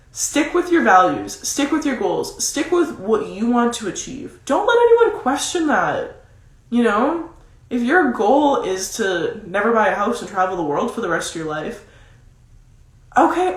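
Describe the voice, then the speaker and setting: A woman with a nasal, congested voice from a cold gives casual, emphatic motivational advice, repeating key phrases for stress. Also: medium pitch. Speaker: motivational coach. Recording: roomy, echoing sound.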